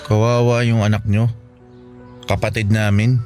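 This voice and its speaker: Man acting low-voiced, bitter young Filipino son. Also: level tone, a little mellow, faint music behind, lightly acted. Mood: reproachful. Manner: reproachful, hesitant pauses. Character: bitter, wounded grown son, eldest sibling. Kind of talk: Filipino radio drama acting.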